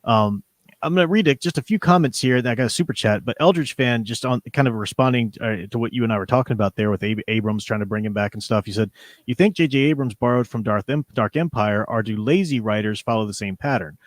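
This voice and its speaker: A man's voice: medium pitch, fast, no room sound. Man hosting a casual discussion, dry close sound. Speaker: live stream host. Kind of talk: casual discussion, reading viewer comments.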